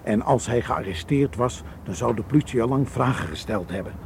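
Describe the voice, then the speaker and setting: An actor playing a careful, level-headed Egyptian tour guide in a radio drama, measured and reassuring. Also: male voice, medium pitch, animated, vocal fry. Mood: concerned. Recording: noisy old radio play recording.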